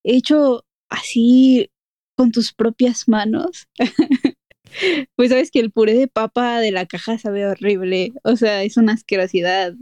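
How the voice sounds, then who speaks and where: A woman's voice: fairly high pitch, fairly even intonation, slow pace, mellow, dry recording. A young woman with a Mexico City accent, chatting casually as podcast co-host and reviewing a film, laughing often and stretching out her fillers. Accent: Mexico City Spanish.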